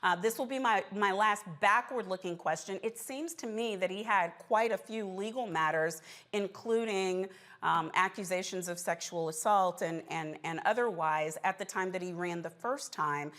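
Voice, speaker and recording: A woman's voice: medium pitch, normal speed, hardly any pauses, fairly bright tone. A woman hosting a political talk show, putting challenging, persistent questions to a guest.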